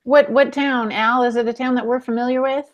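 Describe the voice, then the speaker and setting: A woman's voice: fairly high pitch, steady tone, fairly quick, dull audio. A woman co-hosting a podcast, chatting casually with the others. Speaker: podcast co-host. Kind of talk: casual chat.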